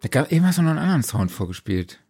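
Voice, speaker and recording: A man co-hosting a podcast in relaxed, casual conversation, bantering with his colleague. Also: fairly high pitch, quick pace, slightly rough, no room sound. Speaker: podcast co-host.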